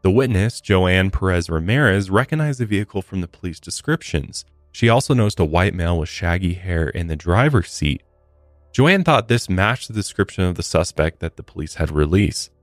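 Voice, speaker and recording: A man with an American accent and a low voice hosts a true-crime podcast. He reads a prepared script and drops in blunt, conversational commentary. The sound is close and dry, with faint music underneath. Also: animated, normal speed, no echo. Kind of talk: scripted true-crime narration with casual asides. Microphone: close studio podcast microphone.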